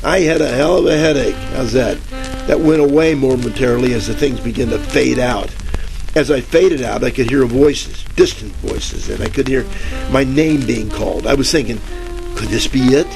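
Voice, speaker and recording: An actor playing a bumbling, cowardly private detective who rambles and stammers in a 1940s radio parody style. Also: male voice, medium pitch, moderate pace, creaky, natural voice. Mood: wry narrating. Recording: crackly old-time radio with music.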